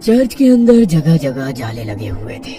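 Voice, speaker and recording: A man's voice: medium pitch, huge pitch swings, unhurried, recorded from a distance, crowd noise. A man narrating a scripted horror story as the storyteller.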